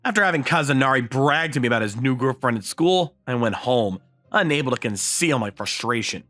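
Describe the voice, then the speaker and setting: A man voicing an excitable, self-pitying high school boy in a comic anime-style dub, with dramatic outbursts and glum asides. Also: brisk, faint music behind. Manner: exasperated, dramatic outbursts and glum inner monologue.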